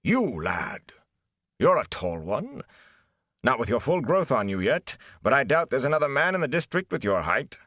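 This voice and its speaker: A man doing a flamboyant old gleeman who booms like he's in a great hall and sneers at gossip. His voice swoops wildly up and down. The sound is dry and close. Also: sing-song, normal speed, a bit bright. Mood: appraising. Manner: grand, booming, scornful asides. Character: flamboyant older male gleeman, theatrical and scornful.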